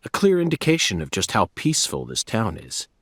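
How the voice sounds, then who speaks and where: An eccentric FBI agent from a video game, with an American accent. His delivery is formal and self-assured, with theatrical swings in pitch. The sound is close and dry. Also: wildly swooping pitch, a little fast, fairly bright tone, no room sound, heavily acted. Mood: composed, self-assured. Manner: formal, theatrical, musing aloud to an unseen companion.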